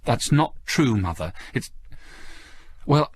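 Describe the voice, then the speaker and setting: A man's voice: medium pitch, very animated, takes it slowly, slightly rough, voice pushed up, exaggerated voice. A man voicing a determined young married woman, harsh and impatient with her mother, in a dramatic audiobook. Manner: harsh, insistent.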